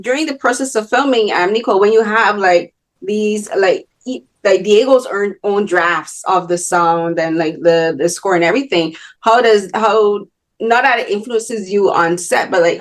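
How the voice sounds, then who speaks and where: A young woman with a Bajan accent hosting an interview, talking in long loose sentences full of restarts and "like" fillers. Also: medium pitch. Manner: rambling, many restarts and "like" fillers. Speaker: host. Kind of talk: casual interview chat.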